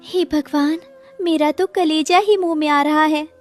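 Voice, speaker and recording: A woman's voice: sits high, steady tone, measured pace, faint music behind. A woman voicing a compassionate, indignant female sparrow in a cartoon, lamenting earnestly. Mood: anguished, indignant.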